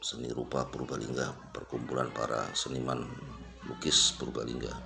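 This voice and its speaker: Very deep, gravelly man. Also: level tone, normal speed, music in the background. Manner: unscripted, repetitive, pointing things out. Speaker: vlogger giving an exhibition tour. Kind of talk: casual walk-through commentary. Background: crowd at an exhibition.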